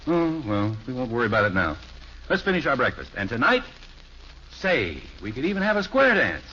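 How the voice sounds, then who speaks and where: Animated, blustery American radio dad. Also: male voice, medium pitch, a little fast. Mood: hearty, persuading. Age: middle-aged. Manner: emphatic, indignant, hearty. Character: blustery, nostalgic middle-aged suburban father, easily exasperated. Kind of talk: 1950s radio sitcom acting. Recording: dull, noisy old radio broadcast recording.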